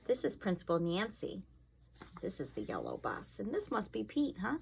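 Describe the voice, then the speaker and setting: A woman's voice: medium pitch, huge pitch swings, normal speed, vocal fry, darkish tone, a little hiss or hum. A woman fire inspector reading a storybook aloud to children, expressively, with casual asides and questions to her young listeners.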